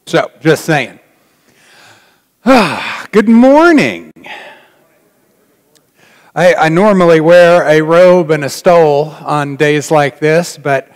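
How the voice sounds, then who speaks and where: A middle-aged man, a pastor addressing his congregation informally in a folksy, dry manner with long pauses, in a large church. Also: a little high. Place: large church sanctuary, indoors. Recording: reverberant church hall.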